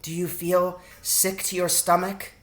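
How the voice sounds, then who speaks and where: A man voicing a caring, anxious mother mouse in a storybook, speaking in a gentle, motherly way. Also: high-pitched, flat delivery, unhurried, pitched above the performer's own voice. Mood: tender concern.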